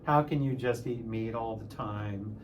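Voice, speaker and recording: A man of sixty talking casually in a personal vlog, halting at times and repeating phrases for emphasis. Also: lowish voice, background noise. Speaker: music teacher and channel host.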